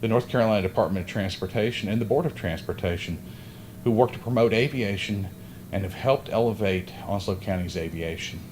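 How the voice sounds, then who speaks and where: A man's voice: fairly low pitch, brisk, a little mellow, slight background noise. A man who is an airport official reads prepared ceremony remarks at a podium outdoors, working through a list of thanks with occasional slips. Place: outdoor groundbreaking site. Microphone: podium microphone.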